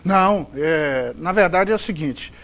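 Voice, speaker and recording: A man's voice: a little high, a little slow, a bit bright. A middle-aged man from Goiás with a Goiás Brazilian accent, a sports broadcaster giving chatty, rambling interview answers. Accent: Goiás Brazilian Portuguese. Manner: chatty, rambling, frequent fillers and restarts.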